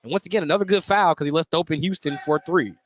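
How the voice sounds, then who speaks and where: A man calling live basketball play-by-play on the radio, getting excited on big plays. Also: a little high, fast talker. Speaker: play-by-play announcer. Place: basketball gym at a tournament.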